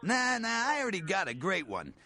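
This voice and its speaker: A man's voice: sits high, huge pitch swings, brisk, pushed and bright, full character voice. An actor playing a blunt, folksy Southern American bail bondsman in a video game, with an informal drawl. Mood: irritated, persuasive.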